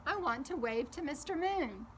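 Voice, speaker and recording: Woman voicing an excitable high-pitched little boy. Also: normal speed, a little hiss or hum. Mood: curious. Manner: excitable, imitating animals and birds. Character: eager, playful little boy cousin.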